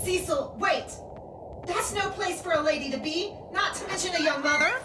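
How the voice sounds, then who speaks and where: A woman's voice: very high-pitched, normal speed. A woman playing an anxious older mother-in-law in an audio drama, fretting and admonishing. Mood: worried, scolding. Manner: fretful, admonishing. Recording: echoey, distant mic, storm noise.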